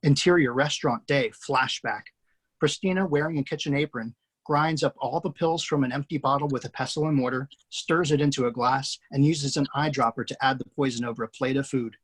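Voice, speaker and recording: Male reader of script action lines, husky, steady delivery. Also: mid-range voice, a little fast. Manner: steady, matter-of-fact. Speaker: screenplay reader. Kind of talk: reading screenplay action lines.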